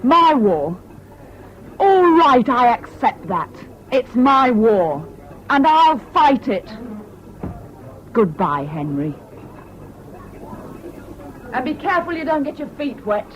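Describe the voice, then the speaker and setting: A woman's voice: sits high, sing-song, slow, long pauses, heavily acted. An actress playing a bitter, scornful, fiercely independent British woman in a 1970s radio drama, with a cutting, sardonic manner. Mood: angry, defiant. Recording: dull, noisy old radio recording.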